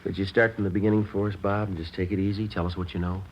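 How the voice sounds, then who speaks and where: A noisy, dull old radio recording of an actor as a deep-voiced American detective. He talks fast in clipped, deadpan, matter-of-fact sentences, calm and terse, like a crime drama cop. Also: natural voice. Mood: gently reassuring. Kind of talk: 1950s radio crime drama narration and dialogue.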